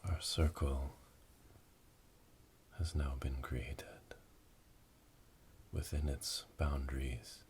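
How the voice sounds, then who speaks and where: A man playing a solemn witch leading a dark initiation ritual in an ASMR roleplay, whispering slowly and breathily in a monotone incantation, recorded close on binaural microphones. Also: very deep voice, full character voice. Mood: solemn, hushed. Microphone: binaural microphones, close to the ears. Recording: close binaural recording with clear background noise.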